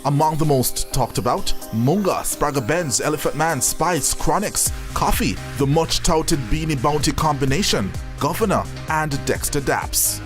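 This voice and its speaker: A male reporter narrates a scripted entertainment news package. His voice sits slightly high, with music clearly playing underneath. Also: lively intonation, a little slow, few pauses.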